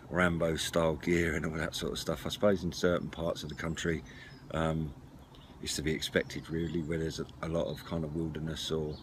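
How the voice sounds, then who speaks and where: Male, middle-aged, deep register, hesitant informal presenter style, noisy outdoor camera recording. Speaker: presenter of a CB radio and camping gear channel. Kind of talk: casual product walk-through to camera. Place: outdoors at a wooden garden table by a house. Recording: clear background noise, outdoor clatter of handled gear.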